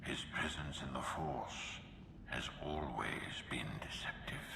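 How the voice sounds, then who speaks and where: An actor playing a grave, mystical sage in a game, speaking in a hushed, deliberate way. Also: male voice, a bass voice, vocal fry, in a whisper, exaggerated voice. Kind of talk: video game cutscene acting. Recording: echoey, long hall-like reverb.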